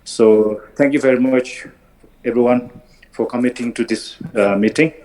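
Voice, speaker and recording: A man's voice: mid-range voice, fairly even intonation, moderate pace, darkish tone. A man from Bhutan who works in education, introducing himself in a friendly, formal way at an online meeting. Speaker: education official. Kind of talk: self-introduction.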